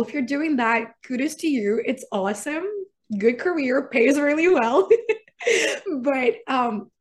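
A young woman originally from Iraq talks as a podcast guest, relaxed and chatty. She laughs often and leans on fillers as she tells her story. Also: on the high side. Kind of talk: casual interview chat.

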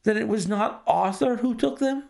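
A man's voice: a little high, sing-song, unhurried, gravelly, pitched above the performer's own voice, full character voice. A man voicing a distraught older male banker who pleads and exclaims anxiously. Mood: excited.

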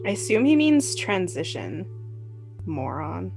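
A woman's voice: fairly low pitch, very animated, a little slow, darkish tone, faint music behind. A woman narrating as podcast host, giving dry, blunt, sarcastic commentary on a dramatic reading.

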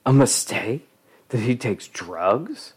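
A man's voice: mid-range voice, very animated, slow, husky, voice pushed up, exaggerated voice. Guy doing an angry bossy mom from a story, nagging and scolding, voice swings up and down. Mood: indignant. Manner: scolding, indignant, forceful. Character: angry, overbearing middle-aged mother, scolding and relentless.

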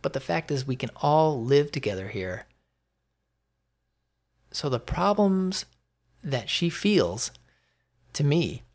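Gravelly, opinionated American man. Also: on the high side. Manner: opinionated, emphatic, halting delivery with repeated rhetorical phrasing. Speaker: podcast host. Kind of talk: unscripted opinion monologue.

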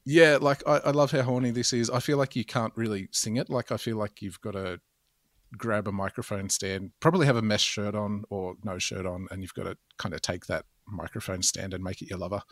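A man's voice: fairly low pitch, fairly even intonation, brisk, dry recording, studio quality. A man guesting on a music podcast, talking enthusiastically with stammering restarts. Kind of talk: casual podcast chat.

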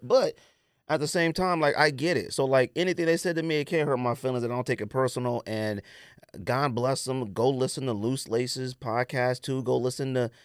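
A man's voice: medium pitch, fairly bright tone, dry recording, studio quality. A man co-hosting a podcast, talking casually and giving his opinions in an emphatic, slangy way, often repeating himself for stress. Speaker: podcast co-host.

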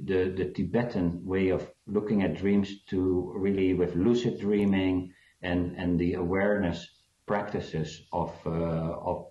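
Deep-voiced hesitant man in a roomy, dull recording. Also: warm tone. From South Africa. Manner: hesitant, many fillers, reflective. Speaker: guest, author. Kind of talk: conversational interview answer.

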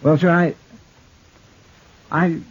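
An actor playing an earnest, deferential Old West marshal's helper with a rural Western American drawl and a hesitant, folksy manner. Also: male voice, fairly high pitch, steady tone, very slow, slightly rough, heavily acted. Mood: sheepish. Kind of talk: 1950s radio Western acting. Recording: muffled 1950s radio recording.

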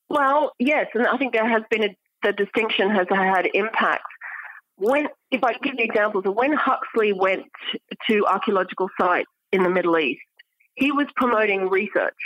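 A woman speaking as an academic guest in an interview, explaining things in a measured, scholarly manner. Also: mid-range voice, no echo, muffled recording. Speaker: guest academic, archaeology professor.

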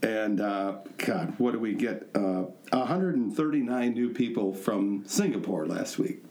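A man's voice: on the low side, lively intonation, measured pace, darkish tone. A man hosting a podcast in a casual, chatty way, with a joking, self-mocking manner. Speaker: podcast host. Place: echoey indoor studio room. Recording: echoey room sound.

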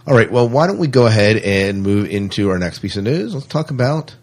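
A man's voice: lowish voice, lively intonation, brisk, a little mellow. A man hosting a podcast in casual, unscripted chat about sci-fi news, rambling and thinking aloud as he goes. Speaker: podcast host.

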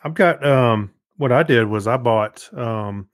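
Male podcast co-host, medium pitch, dry recording, casual conversational style. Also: measured pace, no echo. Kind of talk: casual chat, personal anecdotes.

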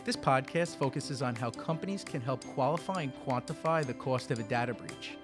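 A man with a slightly husky voice hosting a podcast, introducing the show and putting questions to a guest. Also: medium pitch, fairly quick, music in the background. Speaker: podcast host and interviewer.

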